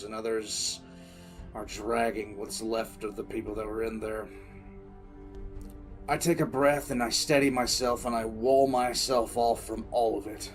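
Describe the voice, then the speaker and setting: A man's voice: mid-range voice, lightly acted. A man playing a grim, battle-weary military commissar, narrating dramatically in the first person for an audio drama.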